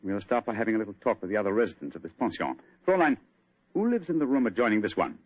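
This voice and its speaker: An actor playing a brisk, commanding male detective who speaks crisply, in an old radio play with a tinny sound. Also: fairly low pitch, expressive. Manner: crisp, authoritative. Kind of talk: 1940s radio acting.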